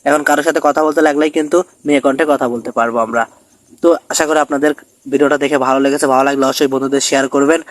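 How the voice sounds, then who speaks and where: A man's voice: on the high side, no room sound. A man hosting a step-by-step tech tutorial, often checking in with his listeners as he narrates.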